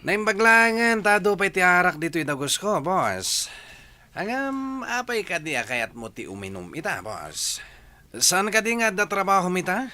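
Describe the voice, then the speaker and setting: An eager Ilocano henchman, played by a man, chummy and ingratiating in a comic radio drama. The voice is bright, fairly high and very lively, with music playing behind it. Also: normal speed, heavily acted. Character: eager, ingratiating male sidekick, fond of liquor.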